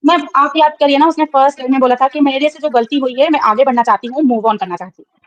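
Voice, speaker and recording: A woman's voice: high voice, fast talker. A woman speaking as a guest on a live group discussion, politely insistent, sprinkling English words into her speech. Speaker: guest caller joining a live panel. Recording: dull lo-fi online call audio.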